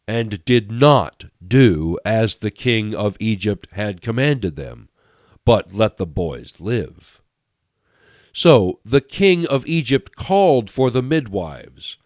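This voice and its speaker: A man reading scripture aloud in a solemn, measured way, pausing deliberately between phrases. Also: lowish voice, no echo, clean studio sound. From United States. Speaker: Bible reader.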